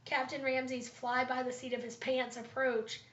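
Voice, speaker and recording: Slightly raspy woman, echoey lo-fi recording. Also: sits high, flat delivery, a bit bright. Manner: thoughtful, with frequent ums and pauses. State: slightly raspy from pollen allergies. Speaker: student presenter. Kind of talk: class presentation, leadership analysis. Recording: roomy, dull lo-fi recording.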